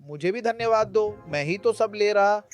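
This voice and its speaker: An actor voicing a smooth-talking, commanding cartoon minister who brushes off every objection in a comedy dub. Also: mid-range voice, normal speed, faint music behind, close to an everyday voice. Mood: brash, dismissive. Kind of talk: Hindi animated comedy dubbing.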